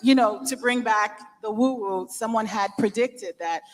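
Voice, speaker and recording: Woman panelist, animated storyteller, long hall reverb. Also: fairly high pitch, moderate pace. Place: event venue with a large hall. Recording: echoey hall.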